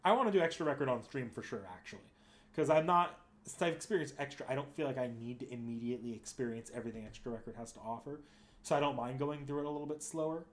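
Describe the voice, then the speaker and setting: A man's voice: mid-range voice, fast talker. A man streaming games, chatting casually and unscripted, hesitant and often correcting himself as he thinks aloud. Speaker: live game streamer.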